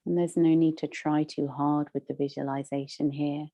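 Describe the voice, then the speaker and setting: A woman leading a guided meditation as a teacher, soothing and measured, with long pauses between phrases. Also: low voice, little pitch movement, dark tone.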